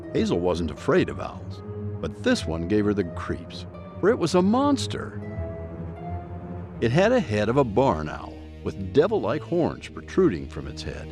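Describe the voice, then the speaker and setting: Very animated low-voiced male storyteller over music. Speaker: narrator. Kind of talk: dramatic scripted storytelling. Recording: music under the narration.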